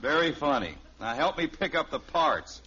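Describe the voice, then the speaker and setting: A man's voice: fairly high pitch, big pitch swings, moderate pace, bright, forceful tone, slightly rough, heavily acted. An actor playing a stubborn, testy family father with a sardonic streak in a 1950s radio sitcom. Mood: exasperated. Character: stubborn, easily exasperated middle-class family father. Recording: dull, noisy old radio recording.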